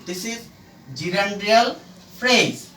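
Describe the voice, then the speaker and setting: Grammar teacher, instructional lesson, halting fragmented phrasing, mid-pitched bright voice, roomy distant recording with faint noise. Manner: halting, deliberate delivery in short fragments. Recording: echoey room, distant mic, faint noise.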